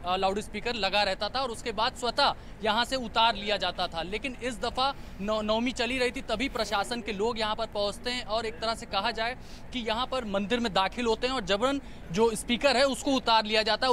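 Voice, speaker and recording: A man's voice: very high-pitched, bright, forceful tone. A man reporting live for the news from outdoors in a village. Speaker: field reporter. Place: outdoors in a village near a temple. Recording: faint outdoor background noise.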